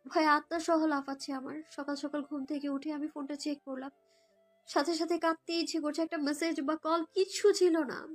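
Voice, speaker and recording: Young woman reading a love story, really high girly voice, emotional, soft music behind. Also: fairly even intonation, normal speed. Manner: emotive, girlish. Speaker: narrator of a romantic story. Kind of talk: dramatized first-person story narration in a girl's voice. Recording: background music under voice.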